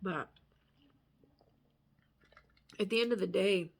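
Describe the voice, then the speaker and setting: A woman giving a casual, rambling tarot reading in a candid, musing manner, sometimes trailing off into hushed asides. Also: mid-range voice, quick pace, gravelly, slightly rough. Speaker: tarot reader.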